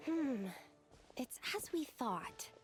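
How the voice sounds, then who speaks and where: An actress playing an earnest, studious young researcher in a video game, polite and careful. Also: female voice, high voice, expressive, extremely slow, over music, full character voice. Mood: earnest, concerned.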